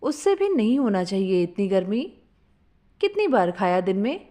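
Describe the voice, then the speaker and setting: A woman's voice: mid-range voice, sing-song, moderate pace, warm tone, close to an everyday voice. A woman voicing an exasperated doctor in a comic story, questioning and scolding a foolish patient.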